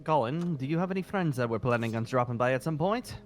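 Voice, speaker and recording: A man playing a polite, eccentric guild wizard in improvised roleplay, genteel and halting. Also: medium pitch, expressive, a little hiss or hum, natural voice. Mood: politely puzzled. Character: polite, eccentric male guild wizard who sniffs out dragons.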